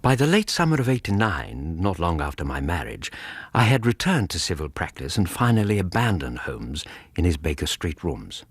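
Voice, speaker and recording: Low-pitched, English accent, Victorian doctor narrator, formal, reflective, expressive, close dry sound. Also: male voice, breathy edge, dry recording, exaggerated voice. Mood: reflective, composed. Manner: measured, literary, formal. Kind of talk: scripted first-person radio drama narration.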